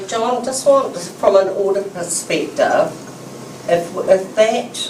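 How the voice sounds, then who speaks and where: A woman, a mayor chairing a council meeting, brisk and calling the table to order. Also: sits low, darkish tone. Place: council chamber. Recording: echoey chamber from distant mic, background noise.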